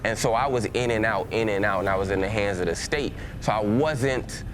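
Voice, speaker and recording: A man's voice: fairly low pitch, fairly quick, noisy background. A man from Texas with a Southern accent, a pastor giving a conversational personal testimony to a church, with frequent restarts and filler words.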